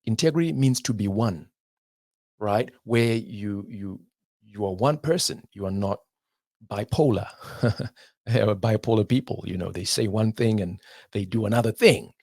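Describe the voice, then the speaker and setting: A man from Zimbabwe giving a motivational talk in an emphatic way, repeating phrases and pausing at length. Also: lowish voice, darkish tone, husky, dry recording, clean studio sound. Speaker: motivational speaker.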